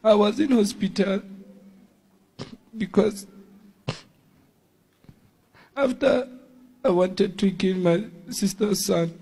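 A young man, answering a pastor in halting, pleading speech at a church service. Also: high-pitched, creaky, dark tone, slightly rough. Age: young adult. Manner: halting, pleading, broken English. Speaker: congregant receiving deliverance. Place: church service before an audience.

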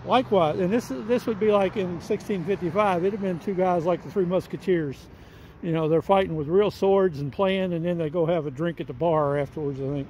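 A man's voice: high-pitched, a little fast, darkish tone, a little hiss or hum, rough recording. A man in his sixties giving an earnest devotional reading and prayer, projecting his voice outdoors. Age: 60s. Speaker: lay Bible teacher and reader. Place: outdoors in a church parking lot.

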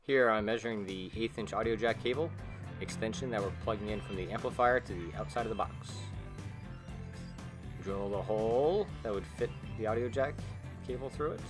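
Young man, very low voice, matter-of-fact DIY explanation, garage workshop, music behind. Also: normal speed. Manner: plain, matter-of-fact with fillers. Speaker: co-host of a DIY video. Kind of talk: explaining a build step by step.

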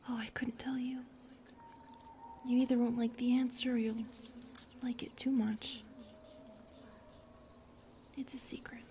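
A shy young female forest spirit, played by a woman, whispers very slowly and haltingly. The voice is mellow and tender, in the style of an ASMR roleplay, with faint ambient noise behind it. She sounds lonely and gentle. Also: on the high side, level tone, lightly acted. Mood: melancholy, secretive.

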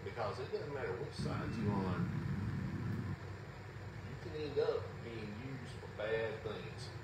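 A man's voice: on the low side, lively intonation, slow. A man preaching an earnest, conversational sermon in a small church, sometimes rising to exclamations. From Southern US. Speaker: pastor. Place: small church sanctuary, indoors. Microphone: lapel mic. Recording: roomy, distant, with loud hum.

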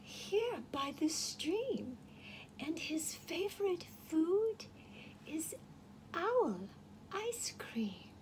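A prim, clever little mouse from a storybook, as a woman puts it on. It speaks in a soft, polite whisper, quick and high. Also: big pitch swings, slight background noise, exaggerated voice, poor audio. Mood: sly.

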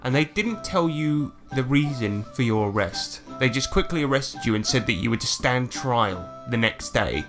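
A man's voice: mid-range voice, lively intonation, normal speed, few pauses, over music. A man narrating a tabletop roleplaying game as its game master, setting scenes informally.

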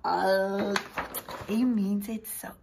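A woman in her forties, a preschool teacher reading a picture book aloud, exclaiming and putting questions to young listeners in an animated, expressive way. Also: mid-range voice, very slow, gravelly, noisy background. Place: small indoor room with posters.

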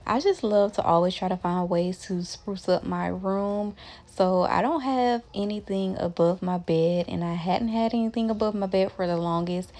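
A young woman narrating her cleaning routine in a relaxed, chatty way, with many casual fillers. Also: mid-range voice, normal speed. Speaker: lifestyle vlogger.

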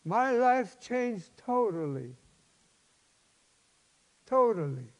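A man in his seventies, a pastor preaching a personal testimony in an emphatic, halting, folksy way. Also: very high voice, very animated. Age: 70s. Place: large church sanctuary, at a lectern. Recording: long hall reverb.

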